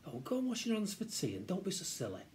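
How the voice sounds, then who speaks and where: A British man voicing an exasperated, bossy mother who scolds her son in a brisk, nagging way. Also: high voice, wildly swooping pitch, a little mellow, slight background noise, voice pushed up. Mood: exasperated, scolding.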